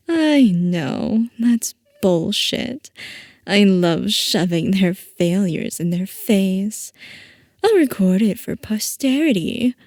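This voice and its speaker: A woman's voice: medium pitch, animated, drawn-out words, darkish tone, no room sound, clean studio sound. A woman playing a playful, theatrical mad-scientist villain boss in a roleplay audio, coy and mock-innocent as she teases. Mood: amused, teasing. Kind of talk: scripted roleplay audio, ASMR-style character acting.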